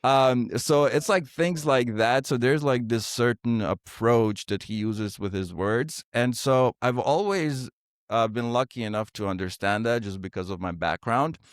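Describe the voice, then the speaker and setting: A man from Bosnia, a theater director and actor, talking in a casual interview, halting with many fillers and restarts. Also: medium pitch, no room sound, studio quality. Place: podcast studio.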